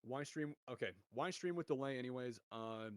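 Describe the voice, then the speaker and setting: A young man with a deep voice streaming esports commentary from home, chatting with viewers and calling plays. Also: moderate pace, clear, resonant tone, dry recording, studio quality. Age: young adult. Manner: rambling, chatty, restarts often, bursts louder on goals. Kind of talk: casual solo game commentary and chat reading. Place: home room.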